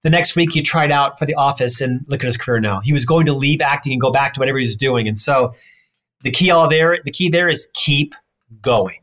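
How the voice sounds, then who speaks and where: A man with a lifelong stutter, a leadership expert answering interview questions emphatically and rattling off points in quick lists. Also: medium pitch, a bit bright.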